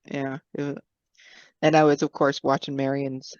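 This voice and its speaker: A woman's voice: very deep voice, level tone, normal speed, darkish tone, dry recording, highs cut off. A woman co-hosting a podcast, chatting casually and mostly giving short, agreeing replies. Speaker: podcast co-host. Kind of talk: casual chat.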